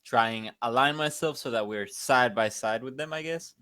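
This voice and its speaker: A man's voice: medium pitch, moderate pace, pushed and bright, clear, resonant tone, dry recording, clean studio sound. A man taking part as a player in a tabletop role-playing game, chatting casually and asking unsure questions.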